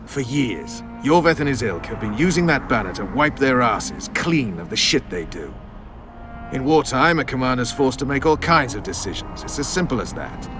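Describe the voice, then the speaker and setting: An actor voicing a stubborn, cynical soldier in a video game, blunt, scornful and argumentative. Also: male voice, mid-range voice, very animated, moderate pace, natural voice. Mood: defensive, scornful. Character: gruff, foul-mouthed male soldier, stubborn and cynical. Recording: music behind the voice.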